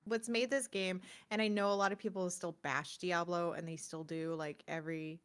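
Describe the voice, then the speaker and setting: A woman hosting a gaming show in casual chat, often slipping into animated quotes and asides. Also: on the low side, normal speed. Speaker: host of a gaming news show. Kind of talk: casual chat about video games.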